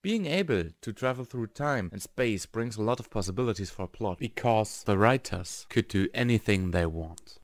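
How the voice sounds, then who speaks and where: A man's voice: lowish voice, lively intonation, normal speed, few pauses. A man narrating a scripted review in English as the host. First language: German.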